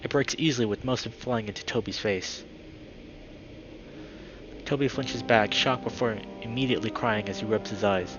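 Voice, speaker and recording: A man's voice: lowish voice, moderate pace, pauses often, dull audio, over music. A man speaking as a live streamer, moving between friendly chat and reading a story aloud. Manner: upbeat, friendly, excitable when greeting viewers.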